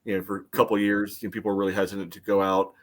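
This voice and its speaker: Male sports podcast panelist, lowish voice, conversational, hesitant and filler-heavy phrasing. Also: little pitch movement, very fast. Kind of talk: casual sports podcast discussion.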